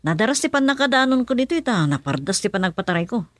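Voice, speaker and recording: A woman's voice: fairly high pitch, sing-song, fast talker, no room sound. A woman with a Filipino accent acting a dutiful, deferential driver in a radio drama.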